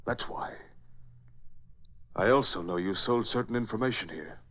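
An actor playing a cold Nazi spy chief in a 1940s radio drama, with a German accent and a clipped, formal, commanding manner. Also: male voice, lowish voice, lively intonation, moderate pace, lightly acted. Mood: accusing. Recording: dull old radio recording.

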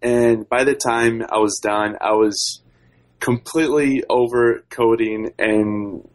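A man's voice: medium pitch, fairly even intonation, slow pace. A young man from Brazil, giving conversational answers as an interview guest and researcher. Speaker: podcast guest, doctoral researcher.

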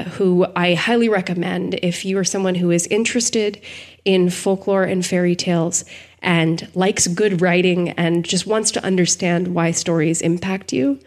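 A woman's voice: lowish voice, fairly even intonation, unhurried, darkish tone. Articulate woman in thoughtful podcast discussion. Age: adult. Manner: articulate, eloquent, thoughtful phrasing. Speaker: podcast co-host. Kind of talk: reflective analytical discussion.

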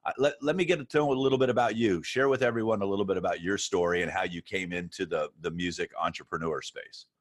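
A man's voice: deep voice, fast talker, hardly any pauses. A man hosting a podcast, explaining his points with everyday analogies in an engaged way. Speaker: podcast host, music business coach. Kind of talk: casual podcast interview.

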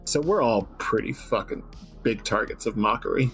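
A man's voice: mid-range voice, moderate pace, a bit bright, faint music behind. A man talking casually about his own writing in a joking, self-deprecating way. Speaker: guest writer on a fiction stream.

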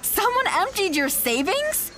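A woman's voice: very high voice, big pitch swings, unhurried, faint music behind, exaggerated voice. An actress playing a vain, indignant young con-artist girlfriend in an audio drama, haughty and condescending. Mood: indignant, mocking. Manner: haughty, sharp-tongued, condescending.

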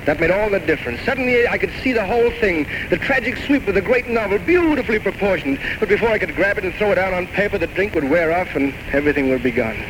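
Tormented drunken writer, wildly swooping pitch. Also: male voice, animated, fast talker, few pauses. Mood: bitter, anguished. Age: 30s. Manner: rambling, theatrical, bitterly self-mocking monologues. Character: tormented alcoholic failed writer, 33, grandiose and self-loathing. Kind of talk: 1940s-1950s radio drama acting. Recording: noisy old radio broadcast recording.

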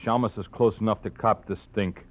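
Actor playing a gruff thug henchman. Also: fairly low pitch, fairly even intonation, normal speed, slight background noise, close to an everyday voice. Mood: threatening. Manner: gruff, threatening slang. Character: tough male henchman bodyguard, thuggish and blunt. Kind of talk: 1940s radio acting. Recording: dull old radio recording.

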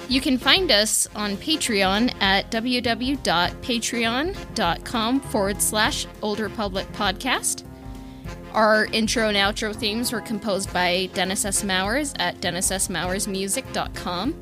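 Female podcast co-host, medium pitch, conversational, faint music in the mix. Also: measured pace. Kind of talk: casual fan podcast chat.

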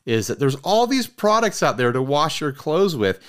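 A man chatting casually as a podcast co-host, telling stories in an animated way and slipping into mock quotes. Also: fairly high pitch, normal speed. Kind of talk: casual chat about hobby gear.

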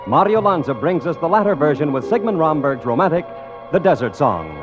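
A male radio host reads a wry, flowery introduction in mid-century style. His fairly high voice moves animatedly over music, on a dull old recording. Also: big pitch swings, a little fast. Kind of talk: scripted 1940s-50s radio music show announcing. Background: loud music.

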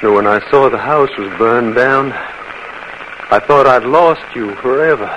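A man playing a young husband come home, tender and hushed, in 1940s radio acting. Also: medium pitch, slow, lightly acted. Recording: noisy, muffled 1940s radio broadcast.

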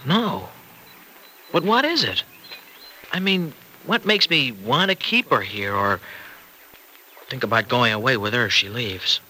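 Mixed-up farmer in old radio show, very bright tense voice, stumbles as he confides, faint noise behind. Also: mid-range voice, lively intonation. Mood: earnest, torn. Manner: halting, earnest confiding. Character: troubled restless married farmer with a wayward past. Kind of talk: old-time radio drama acting.

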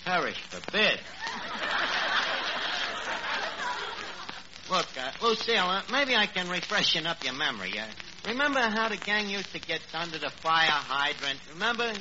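Dim New lug, noisy old radio. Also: male voice, high-pitched, big pitch swings, dull audio. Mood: coaxing. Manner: halting, muddled, mangling words. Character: dim, bluffing, uneducated working-class city man. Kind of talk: 1940s radio comedy acting.

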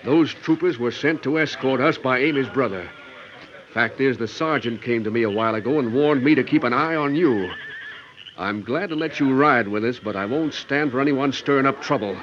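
An actor playing a stubborn, plain-spoken wagon master in an old radio western, firm, folksy and commanding. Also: male voice, mid-range voice, normal speed, natural voice. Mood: stern, dismissive. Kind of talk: old radio drama acting. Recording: dull, slightly noisy old radio recording.

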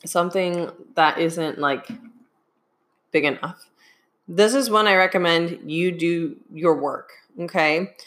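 Hoarse woman, coach asking "okay?". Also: fairly low pitch, takes it slowly, fairly bright tone. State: hoarse, getting over a sinus infection. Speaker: fitness coach. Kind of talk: live-streamed advice talk.

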